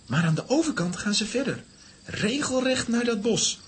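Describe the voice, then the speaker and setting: A woman's voice: fairly high pitch, lively intonation, normal speed, slight background noise, close to an everyday voice. A woman playing an alert, earnest child adventurer in a children's audio drama.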